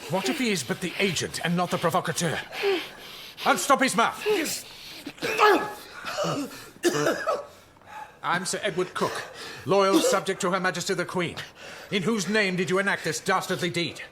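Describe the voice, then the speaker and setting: An actor playing a zealous, vengeful Elizabethan courtier, theatrical and rhetorical in a period radio drama. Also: male voice, high voice, animated, a little fast, background noise. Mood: menacing, accusing.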